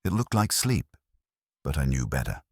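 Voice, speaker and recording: A man's voice: rumbling low, sing-song, normal speed, a little mellow, slightly rough, no room sound, clean studio sound. A man reading a first-person detective story as an audiobook narrator.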